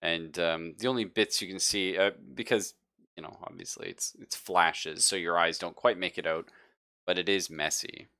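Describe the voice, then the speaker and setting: A man's voice: deep voice, fairly even intonation, normal speed, bright, forceful tone. A man running a tabletop roleplaying game, improvising scene narration and chatting casually with the players. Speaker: game master.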